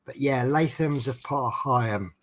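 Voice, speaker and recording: A man's voice: medium pitch, normal speed, slight background noise, poor audio. A man with a Norfolk accent, chatting casually and rambling with lots of ums while doing a craft at home. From England. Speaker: vlogger.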